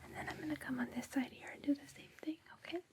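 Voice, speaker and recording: A woman whispering gently and reassuringly in an ASMR personal-attention roleplay, with a breathy, soothing delivery. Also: high voice, fairly even intonation, rapid-fire. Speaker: ASMR host playing a space suit fitting attendant.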